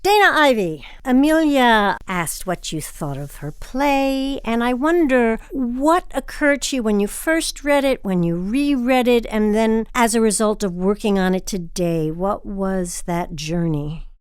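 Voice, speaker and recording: A woman's voice: on the high side, lively intonation, measured pace, hardly any pauses, clean tone, no room sound, studio quality. A woman host presenting credits and putting questions in an interview style. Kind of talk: scripted outro and interview.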